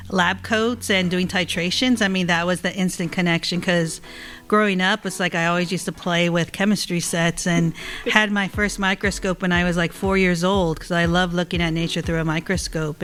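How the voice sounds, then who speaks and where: A woman telling stories as an interview guest, reflective and halting, often repeating words as she goes. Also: on the low side, fairly bright tone. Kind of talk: casual interview storytelling.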